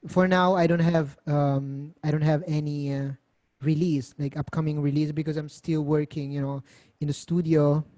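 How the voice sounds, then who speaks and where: Young male musician from Italy, soft and hesitant, mellow tone in a dry room. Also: fairly high pitch, flat delivery, normal speed, no echo. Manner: soft-spoken, hesitant, many fillers and restarts. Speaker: musician guest. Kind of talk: casual interview answers.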